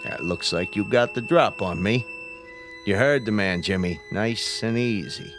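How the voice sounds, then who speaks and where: An actor playing a self-assured, shifty gangster boss in a crime radio drama, talking in mobster slang. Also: male voice, fairly low pitch, normal speed, music in the background, lightly acted. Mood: rattled. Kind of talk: hard-boiled crime radio drama acting.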